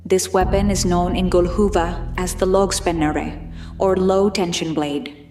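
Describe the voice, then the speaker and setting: A woman narrates in a lower register, with a measured and formal delivery. It is a scripted, documentary-style reading of fantasy lore. The sound is roomy, with faint music underneath. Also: steady tone, darkish tone.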